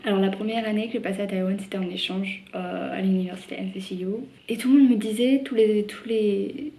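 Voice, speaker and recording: A woman's voice: medium pitch, fairly even intonation, fairly quick, dark tone, faint music behind, low-quality recording. A young French woman chatting to camera in a vlog, talking freely with lots of fillers and restarts. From France. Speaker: vlogger. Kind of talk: casual chat to camera.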